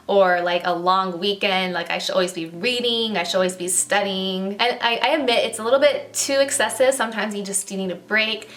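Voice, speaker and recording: A young woman from Okinawa chatting to her viewers in a loose, friendly vlog style. She talks at a middling pitch and fills her sentences with easy asides and "like"s. She sounds at ease, as if talking to a friend. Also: brisk, few pauses. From Okinawa, Japan. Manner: chatty, relaxed, filler-heavy with "like" and "um". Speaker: beauty vlogger. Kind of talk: casual talk to camera.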